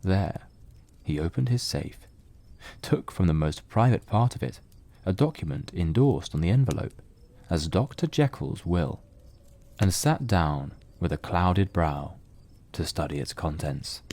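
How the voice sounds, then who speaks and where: A man narrating a literary mystery as scripted audio drama, in a measured, solemn storytelling manner. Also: deep voice, very animated, mellow.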